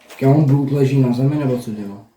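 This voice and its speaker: A man's voice: mid-range voice, warm tone. A young man chatting casually as a reaction host, joking and swearing in slang. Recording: roomy echo, faint noise and clicks.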